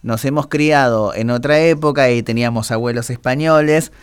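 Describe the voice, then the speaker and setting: A man's voice: medium pitch, moderate pace, clear, resonant tone, dry recording. A middle-aged man with a Buenos Aires Spanish accent, interviewing casually and often searching for words. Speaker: interviewer, host.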